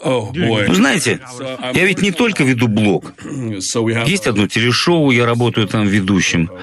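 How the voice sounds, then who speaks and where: A man's voice: medium pitch, very animated, unhurried, fairly bright tone. A man voicing an outspoken American expatriate blogger in an emphatic, conversational interview voice-over. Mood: candid, explanatory.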